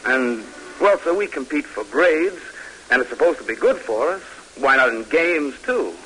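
An actor playing an eager, respectful young cadet son in a 1950s radio drama, speaking earnestly and deferentially. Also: male voice, a little high, very animated, normal speed, bright, forceful tone, low-quality recording. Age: young adult. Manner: deferential, hesitant openings, earnest. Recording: hissy vintage radio recording.

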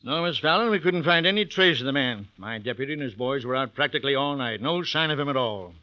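Actor as skeptical small-town sheriff, dull old recording. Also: male voice, mid-range voice, animated, fast talker, close to an everyday voice. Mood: matter-of-fact. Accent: American. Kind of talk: old-time radio drama acting. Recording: dull lo-fi vintage radio recording.

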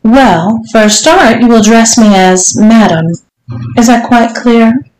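A woman voicing a domineering, teasing, strict mistress in an audiobook, speaking in an imperious, deliberate way. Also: a little high, darkish tone, voice pushed up, lightly acted. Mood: stern, condescending. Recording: roomy, echoing room sound.

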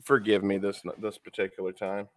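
A man's voice: lowish voice, monotone, a little fast, dry recording. Man, podcast co-host, stuffy nose, sniffly, casual profane chat, dry close sound. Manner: loose and profane, lots of fillers.

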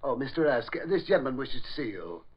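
An actor playing a befuddled, forgetful butler with a deferential, dithering, formal manner in 1940s radio drama. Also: male voice, mid-range voice, big pitch swings, fairly quick, background noise, natural voice. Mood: flustered, obliging. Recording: dull old 1940s radio recording.

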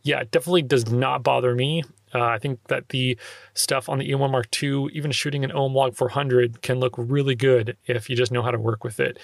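A young man with a slightly nasal, gravelly voice talks casually as a podcast host, peppering his explanations with fillers. His pitch sits in the middle range. The sound is close and dry, recorded in a small treated closet. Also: fairly even intonation, a little fast, dry recording. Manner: loose, filler-heavy explaining with frequent "like". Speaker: podcast host answering listener questions. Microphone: handheld recorder close up.

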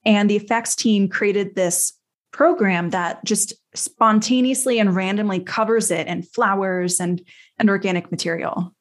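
A woman co-hosting a podcast, talking casually about a TV series in an enthusiastic, expressive way. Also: medium pitch, measured pace. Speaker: podcast co-host.

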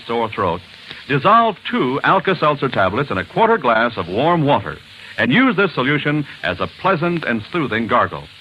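A man reading an old-time radio advertisement as an earnest, advisory announcer. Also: mid-range voice. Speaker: commercial announcer. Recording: dull, hissy old radio recording.

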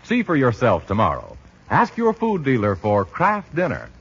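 A man's voice: mid-range voice, wildly swooping pitch, moderate pace, over loud music. A man announcing in 1940s radio style, with polished, emphatic salesmanship. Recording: muffled 1940s radio recording, husky sound.

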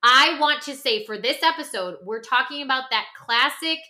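Bright, high-pitched woman chatting emphatically. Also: animated, normal speed. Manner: emphatic, checks in often with "okay?" and "right?"; acts out imagined reactions in quoted voices. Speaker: podcast co-host. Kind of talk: animated conversational podcast talk.

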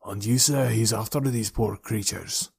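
A man voicing a big, gruff, fierce man with a grave, contemptuous manner, as an audiobook character. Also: lowish voice, measured pace, heavily acted. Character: big gruff ginger-haired man, fierce, defiant, soft-hearted.

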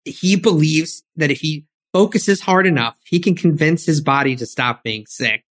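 A woman co-hosting a casual chat podcast, animated and quick to laugh as she retells favorite moments. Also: sits low. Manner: animated, quick to laugh, tells sports stories with enthusiasm. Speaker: podcast co-host.

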